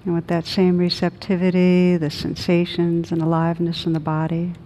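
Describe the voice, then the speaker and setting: A woman meditation teacher leading a guided meditation in a gentle, soothing manner with long pauses. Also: fairly low pitch, monotone, slight background noise, poor audio.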